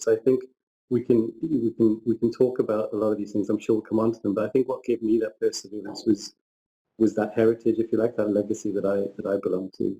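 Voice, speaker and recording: Very dark-voiced man, thoughtful. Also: fairly low pitch, rough recording. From northern England. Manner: reflective, hesitant with many restarts. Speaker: panelist. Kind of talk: panel discussion, personal story.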